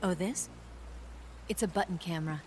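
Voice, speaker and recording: A woman's voice: on the low side, moderate pace, close to an everyday voice. An actress playing a cool, wary, self-possessed female spy in a video game.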